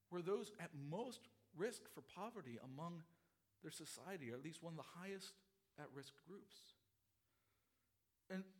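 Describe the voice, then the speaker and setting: A middle-aged pastor preaching a sermon, his voice hoarse from a cold, earnest and expository, often repeating phrases. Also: high voice.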